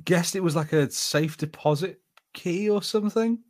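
A man's voice: high-pitched, normal speed, slight background noise. A man co-hosting a podcast in casual banter, with an easy, agreeable manner. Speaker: podcast co-host.

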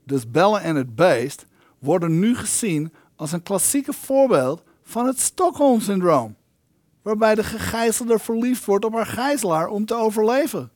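An actor playing the indignant ghost of an elderly film maker in an audio drama, wordy, aggrieved and theatrical. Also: male voice, sits high, darkish tone, no echo, studio quality. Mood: indignant, bitter.

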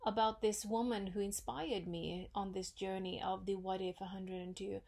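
A woman with a Norwegian accent hosting her own podcast, talking in a thoughtful, unhurried way with many pauses and fillers. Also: mid-range voice, steady tone, vocal fry, a little mellow. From Norway. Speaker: podcast host. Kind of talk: solo reflective podcast talk.

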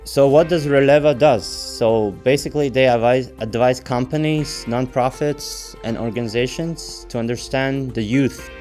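A man hosting an interview podcast, speaking in a halting way and often restarting his phrases. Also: medium pitch, a little mellow, soft background music. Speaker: podcast host.

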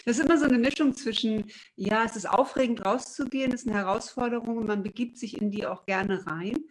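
A woman's voice: a little high, brisk, rough recording. Woman interviewer asking probing questions. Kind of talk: casual interview chat.

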